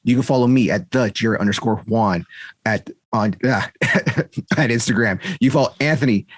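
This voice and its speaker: A man's voice: mid-range voice, normal speed, no room sound. A man co-hosting a casual podcast, rambling through stories and laughing often. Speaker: podcast co-host. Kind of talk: casual chat.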